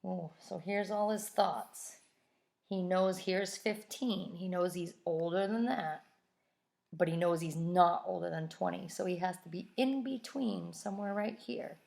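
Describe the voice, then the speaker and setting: A woman reading a children's story aloud like a teacher, pausing to explain things step by step in simple words. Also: fairly low pitch, gravelly, darkish tone.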